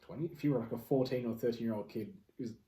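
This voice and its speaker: A man with a medium-pitched voice chats casually as one of a podcast panel. His voice carries a clear echo from the room. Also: fast, mellow, slight background noise. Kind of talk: casual group chat. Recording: echoey room sound.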